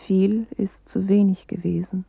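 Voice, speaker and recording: Hushed, husky solemn inner self. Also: female voice, mid-range voice, little pitch movement, no echo, narrow, tinny audio, heavily acted. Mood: solemn, detached. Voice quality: breathy, husky. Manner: slow, hushed, solemn recitation. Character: inward female self, a solemn first-person poetic voice. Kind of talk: radio play poetic monologue.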